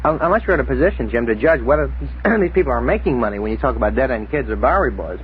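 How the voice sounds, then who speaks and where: A man's voice: medium pitch, lively intonation, racing. A man on a 1960s radio panel, debating casually in a questioning, challenging way. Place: radio studio. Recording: tinny, noisy 1960s radio recording.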